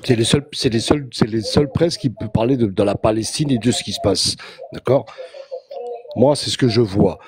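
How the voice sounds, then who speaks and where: A man's voice: mid-range voice, moderate pace, darkish tone. A man calling in to a live show, making emphatic, repetitive declarations. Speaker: caller. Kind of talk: heated call-in opinion.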